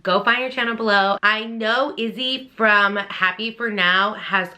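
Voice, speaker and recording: A woman in her thirties from Texas with a Texan accent, chatting quickly and casually to camera about books she wants to read. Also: mid-range voice, sharp tone. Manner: chatty, quick, addresses viewers as y'all. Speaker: book vlogger.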